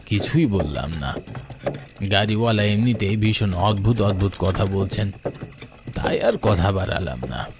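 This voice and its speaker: A man giving a scripted, dramatic first-person narration of an animated horror story. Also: on the low side. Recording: clear background noise of night crickets.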